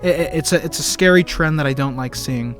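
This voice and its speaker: An earnest young man talks through a film review in a casual, rambling way. He stumbles over his words and restarts his sentences. His voice sits a little high for a man, and faint music plays underneath. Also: brisk. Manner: earnest and enthusiastic.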